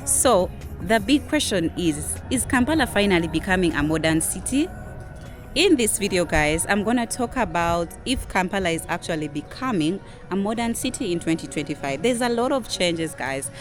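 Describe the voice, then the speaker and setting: A young Ugandan woman with a Ugandan English accent, hosting a casual vlog, chatty and leaning on "guys" a lot, with soft music behind her. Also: expressive, normal speed. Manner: chatty, says "guys" constantly, drops to a low murmur on it. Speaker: vlogger. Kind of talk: casual talking-to-camera vlog.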